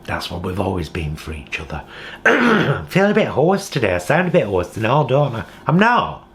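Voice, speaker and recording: A man's voice: fairly low pitch, huge pitch swings, moderate pace, a bit bright, a little hiss or hum. A British man talking in a heartfelt, reflective vlog monologue from his home kitchen. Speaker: vlogger.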